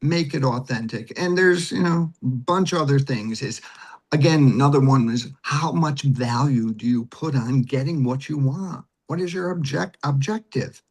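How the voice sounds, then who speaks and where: A man's voice: mid-range voice, moderate pace, darkish tone, husky. A man speaking conversationally in an interview as an acting teacher and director, with an emphatic, instructive manner that leans on repeated phrases.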